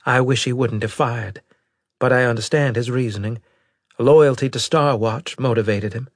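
Man voicing an earnest female brigadier, pitched up. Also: fairly even intonation, moderate pace, dry recording, studio quality, close to an everyday voice. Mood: firm, defensive. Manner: formal, emphatic military address. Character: earnest, loyal female military brigadier, worried but resolute. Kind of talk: audiobook character dialogue.

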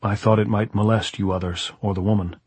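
A man voicing a calm, principled guerrilla in an audiobook novel, with measured, formal, old-fashioned phrasing. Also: lowish voice, slightly rough, no echo. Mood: calm, reserved.

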